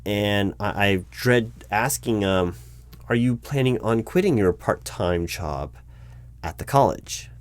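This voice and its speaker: A man's voice: fairly low pitch, lively intonation, slow, dry recording. A man playing a polite, awkwardly tactful corporate hiring manager in a one-sided interview roleplay, speaking aloud in a hesitant, stammering, diplomatic way. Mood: skeptical, probing. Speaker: recruiting manager conducting a job interview.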